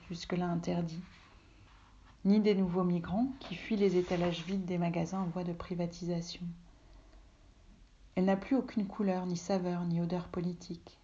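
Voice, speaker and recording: A woman from Russia whose first language is Russian, calmly reading a literary text aloud from her home office, often soft and breathy. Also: on the low side, highs cut off. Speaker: reader, translator.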